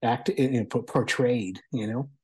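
A man giving a casual spoken review as a guest, talking in a halting, thoughtful way. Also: mid-range voice, a little mellow, slightly rough.

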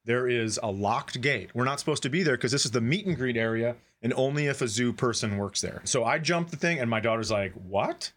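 A man's voice: mid-range voice, a little fast, hardly any pauses. A middle-aged American man hosting a comedy podcast, telling stories casually and sarcastically and acting out the dialogue. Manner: animated, acts out dialogue, sarcastic. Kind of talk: casual comic storytelling.

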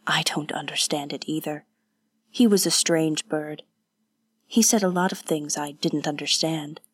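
A woman voicing an awkward, earnest young male warrior who speaks haltingly and often drops to a whisper. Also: low-pitched, fairly even intonation, no echo, clean studio sound.

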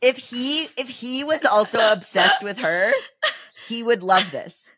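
A woman's voice: fairly high pitch, expressive, unhurried, bright, forceful tone, breathy edge, no echo. A woman co-hosting a comedy podcast with emphatic, slangy, sweary storytelling and banter. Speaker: podcast co-host. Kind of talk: casual comic storytelling and banter.

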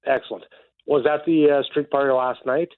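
Male radio caller, halting and plainspoken, tinny telephone sound. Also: medium pitch, steady tone, no echo. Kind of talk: casual phone chat. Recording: tinny phone line.

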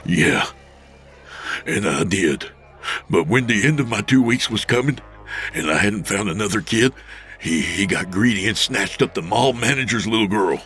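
Brief: whiny stammering low-life, voiced by a man, bright-edged tone. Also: animated, moderate pace, soft background music. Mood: scared. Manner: stammering, whining. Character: cowardly sleazy male crook, whining and stammering.

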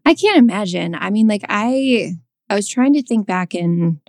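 A woman's voice: mid-range voice, lively intonation, moderate pace, darkish tone, no room sound, studio quality. A woman in her thirties co-hosting a podcast, chatting casually with lots of fillers and restarts. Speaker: podcast co-host.

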